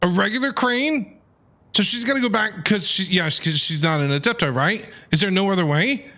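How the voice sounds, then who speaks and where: A man streaming live game reactions in an excitable, rambling, repetitive way, talking to his chat. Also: high-pitched, normal speed.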